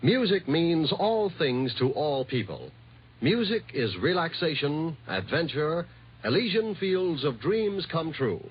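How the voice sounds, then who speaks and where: Male network announcer, fairly high and very expressive, declamatory, muffled vintage radio sound. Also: slow, slightly rough. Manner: polished, declamatory. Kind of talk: 1950s radio promotional announcement. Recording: muffled old radio recording, faint noise.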